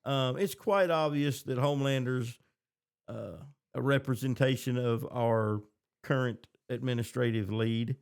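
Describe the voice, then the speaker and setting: A man's voice: medium pitch, a little slow, gravelly, a little mellow, clean tone. An American man hosting a podcast, chatting casually about TV shows in reaction to them. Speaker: podcast host.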